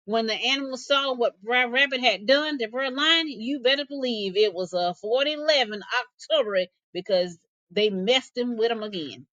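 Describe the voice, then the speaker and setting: A woman reading folk tales aloud as a teacher, in an expressive storytelling style. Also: on the high side, normal speed, hardly any pauses, no room sound, lo-fi sound.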